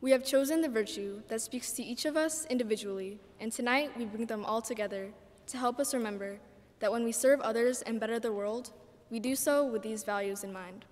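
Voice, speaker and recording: A woman's voice: a little high, a little fast, a little mellow. Teen girl speaker in reverberant church. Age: teenager, about 14. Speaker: graduating student speaker. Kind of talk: reading a prepared ceremony speech. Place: large church, indoors. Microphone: podium microphone. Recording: reverberant church hall recording.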